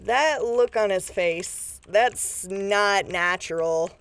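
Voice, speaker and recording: A woman's voice: mid-range voice, slow, fairly bright tone. A woman acting a confident deputy in a post-apocalyptic audio drama, with a casual, needling, folksy way of talking. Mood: puzzled, serious. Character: confident, folksy female deputy, teasing but firm.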